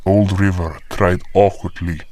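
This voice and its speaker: A man's voice: low voice, fairly even intonation, measured pace, darkish tone, a little hiss or hum. A man playing a solemn creature who narrates his own tale in a scripted fantasy audio drama.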